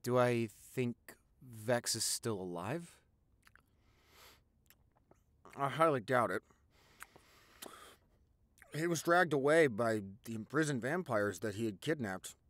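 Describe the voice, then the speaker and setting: Man playing an expressive, tender vampire boyfriend. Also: medium pitch, no echo. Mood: somber, uneasy. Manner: tender, encouraging, earnest, halting when emotional. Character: devoted, gentle young male vampire boyfriend. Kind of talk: audio roleplay acting, intimate one-sided dialogue.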